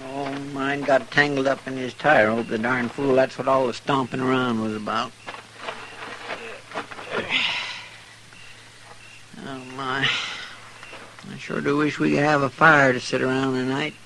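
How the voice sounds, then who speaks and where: An American actor playing an anxious, talkative, loyal deputy in an old radio western, with a rural twang and a rambling, hesitant manner. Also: male voice, medium pitch, little pitch movement, normal speed, natural voice. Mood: apologetic, mild. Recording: old radio recording, faint noise.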